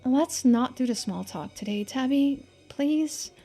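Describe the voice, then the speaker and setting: A woman's voice: sits high, expressive, slow pace, warm tone, breathy edge, soft background music, full character voice. A woman voicing an earnest, urgent young woman from a story game, blunt and rambling as she talks. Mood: pleading.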